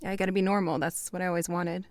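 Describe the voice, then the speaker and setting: An actress playing an anxious, wry young woman who confides in a candid, halting, rambling way in an audio drama. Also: lowish voice, level tone, no room sound, natural voice. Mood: reflective, resigned. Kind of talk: naturalistic audio drama dialogue.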